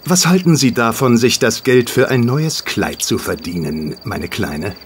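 An actor playing a smooth, insinuating male stranger, a sly racing spy, in a German radio play. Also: mid-range voice, lively intonation, unhurried, slight background noise, natural voice. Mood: coaxing. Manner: glib, polite, coaxing.

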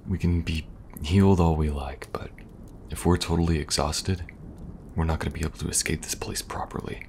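A man playing a weary, protective young survivor agent in an ASMR audio roleplay, speaking softly and reassuringly, a little hesitant. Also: a bass voice, fairly even intonation, normal speed. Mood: tired, protective. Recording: faint background noise and ambience.

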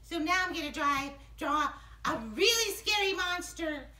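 A woman's voice: extremely high pitch, unhurried, bright, forceful tone, heavily acted. A woman voicing a brave, boastful little boy puppet in a children's show, speaking in an earnest, childlike, exclamatory way. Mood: bold, excited. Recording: roomy echo with music behind.

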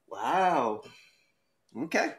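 A man's voice: fairly high pitch, very animated, very slow. A man co-hosting and judging a casual debate, chatty and blunt with some swearing. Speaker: debate judge and co-host.

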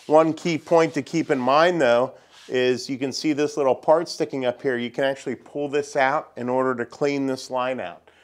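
A middle-aged man of average pitch walks through a half-built wooden house. He explains the ductwork in a plain, practical way, with the odd "um" along the way. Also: steady tone, moderate pace. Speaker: home builder show host. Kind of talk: casual walkthrough explanation. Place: unfinished wooden house under construction, indoors.

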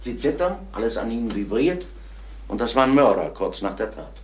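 An actor playing a calm, seasoned middle-aged police inspector in a German crime radio drama, measured and thoughtful. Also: male voice, medium pitch, lightly acted. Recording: faintly noisy old radio recording.

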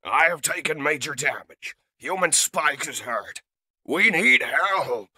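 A man voicing a noble male robot leader with booming, forceful declarations. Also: slow pace, dry recording, studio quality. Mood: commanding.

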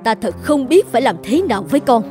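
Cartoon queen mother, formal and strict, fairly high female voice. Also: lively intonation, quick pace, over music. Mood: scolding. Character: strict but deferential royal mother, a queen. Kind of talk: dubbed children's cartoon acting.

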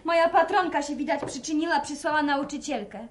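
Radio drama: female actor as eager young village girl, plain and hurried, very high voice, off-mic in a room. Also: noisy background, lightly acted. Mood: busy, eager. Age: young adult. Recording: distant, roomy, background voices.

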